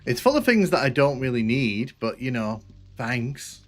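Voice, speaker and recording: A man's voice: medium pitch, sing-song, normal speed. A young man with a northwest English accent, chatting casually and rambling while streaming a game. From northwest England. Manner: chatty, rambling, self-mocking. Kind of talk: casual gameplay commentary.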